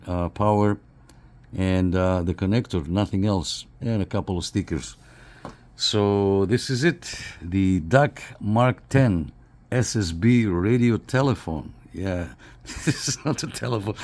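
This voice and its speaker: A man giving a casual, unscripted hands-on review, speaking haltingly and often repeating his phrases. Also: deep voice, darkish tone. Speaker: radio reviewer and CB operator.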